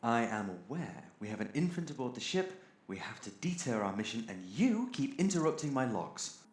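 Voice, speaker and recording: A man's voice: mid-range voice, big pitch swings, moderate pace, reverberant, lightly acted. A man playing an irritable, sardonic robot crewman in an audio drama, theatrically exasperated and sarcastic. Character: irritable sardonic male robot crewman keeping a ship's log.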